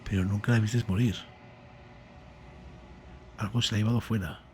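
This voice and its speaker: A man's voice: fairly low pitch, steady tone, a little fast, slight background noise. A man hosting a gaming video, giving casual live commentary and translating the game aloud. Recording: game music behind the voice.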